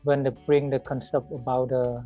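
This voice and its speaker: A man, a program manager, speaking haltingly with many fillers. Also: mid-range voice, flat delivery, dull audio, faint music behind. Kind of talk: recorded video statement.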